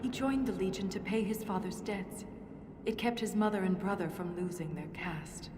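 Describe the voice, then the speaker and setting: A woman playing an earnest dwarf scholar in a video game, speaking in a measured, solemn and resolute way. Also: mid-range voice, noisy background, natural voice. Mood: grieving, solemn. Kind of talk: video game dramatic dialogue. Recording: roomy echo, music behind.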